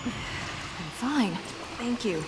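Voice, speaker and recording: Dry young princess, slightly distant. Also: female voice, fairly high pitch, drawn-out words, noisy background. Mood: earnest, matter-of-fact. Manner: deadpan, terse, matter-of-fact. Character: dry, determined young princess guiding a reckless companion. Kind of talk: video game cutscene acting. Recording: distant voice under music.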